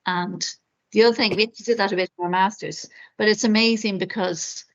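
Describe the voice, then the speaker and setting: A woman's voice: medium pitch, steady tone, brisk. Encouraging woman author on a lo-fi call. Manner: encouraging, warm advice with many you-knows. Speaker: author guest. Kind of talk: friendly advice in an interview.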